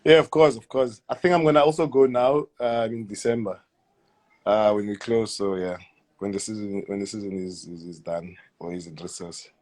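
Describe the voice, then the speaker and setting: A man in his thirties with a South African accent, answering interview questions in a hesitant, halting way, often repeating words and letting sentences trail off quietly. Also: lowish voice, steady tone. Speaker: guest footballer. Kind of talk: casual interview.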